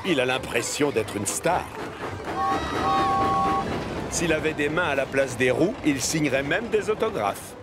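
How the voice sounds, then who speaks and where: A man narrating a scripted story for children in an animated series. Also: on the high side, unhurried, long pauses, over a noisy crowd. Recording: music bed under the voice.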